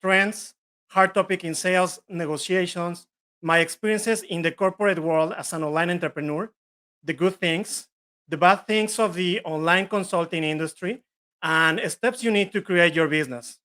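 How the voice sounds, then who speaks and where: Bright-voiced man with a Mexican accent. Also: sits high, moderate pace. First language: Spanish. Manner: earnest, self-correcting, list-like delivery. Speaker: business trainer. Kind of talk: live online training.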